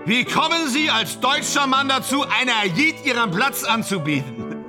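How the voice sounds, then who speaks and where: A tipsy vain actor, rambling and self-pitying, voice swinging all over, music behind. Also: male voice, very high-pitched, animated, normal speed. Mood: excited, amused. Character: vain, self-justifying 1930s German film actor, drunk and guilt-ridden. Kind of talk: German radio drama acting.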